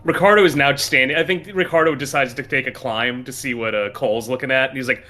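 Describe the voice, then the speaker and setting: A man's voice: mid-range voice, fairly even intonation, fast, sharp tone. A man playing a tabletop role-playing game, chatting casually and sometimes speaking for his character.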